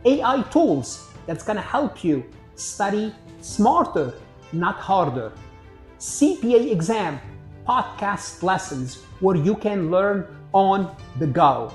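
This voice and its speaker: A woman reads a scripted advertisement at a slow, measured pace. Her voice sits in a noticeably echoey space. Faint music plays underneath. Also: mid-range voice, big pitch swings. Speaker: promotional announcer.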